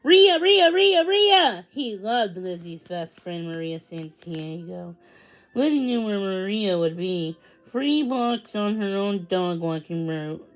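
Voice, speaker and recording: A woman's voice: medium pitch, a little mellow, low-quality recording. A woman narrating a children's story as a read-aloud. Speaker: storybook reader.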